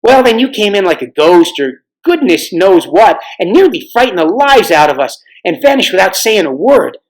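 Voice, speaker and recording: A man's voice: sits high, sing-song, moderate pace, rough recording. A man voicing a chatty, eager schoolboy in a storybook, explaining things informally.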